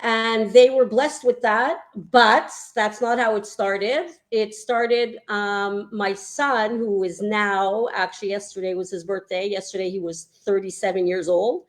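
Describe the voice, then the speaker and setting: An older woman with a Canadian accent speaks over a video call in easy, even-pitched conversation. She tells her story with enthusiasm, leaning on repeated words for emphasis. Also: measured pace, hardly any pauses. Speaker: homeopath guest. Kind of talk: casual chat.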